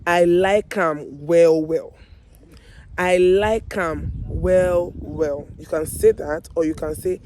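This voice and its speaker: A woman with a Nigerian accent and a hoarse voice, teaching everyday phrases to viewers with slow, deliberate repetition. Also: lowish voice, darkish tone. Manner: addresses viewers directly, repeats phrases slowly and deliberately. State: hoarse, losing her voice. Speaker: online language teacher, video host. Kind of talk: language lesson with slow repeated example phrases.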